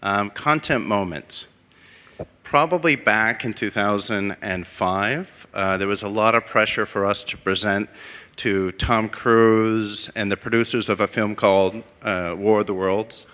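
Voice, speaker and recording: A man on a conference panel, talking about his career in a relaxed, anecdotal way. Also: lowish voice, unhurried. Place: conference hall. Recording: reverberant hall.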